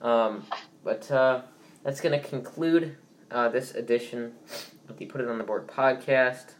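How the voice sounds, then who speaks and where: Young man, stuffy nose, casual podcast host, rambling with lots of ums, mid-pitched voice. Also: measured pace. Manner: rambling, many fillers and restarts. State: stuffy from allergies. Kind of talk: casual sports podcast chat.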